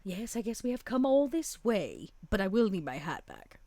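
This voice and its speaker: A woman's voice: medium pitch, sing-song, warm tone, no room sound. A woman voicing a poised female vampire in a tabletop game, coy and elaborately polite, with silky menace. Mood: genteel. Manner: slow, drawn-out, overly polite.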